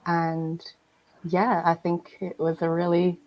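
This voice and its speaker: A woman from Malaysia speaking as a writer on a festival panel, thoughtful and hesitant, with many pauses and fillers. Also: fairly low pitch, completely flat delivery, highs cut off, a little hiss or hum. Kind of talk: panel discussion.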